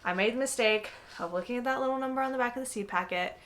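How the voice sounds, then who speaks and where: A woman's voice: a little high, rapid-fire, a bit bright. Slightly congested young woman, chatty vlog. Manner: chatty, frequent "um" and "like", conversational. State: congested from a cold. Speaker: gardening vlogger. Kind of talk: casual explanatory vlog. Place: indoors, with some segments recorded outdoors in a garden.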